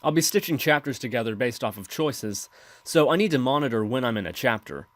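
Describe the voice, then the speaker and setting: A man hosting a software tutorial, explaining steps in scripted voice-over narration. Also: mid-range voice, lively intonation, fairly quick, dry recording. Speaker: tutorial host.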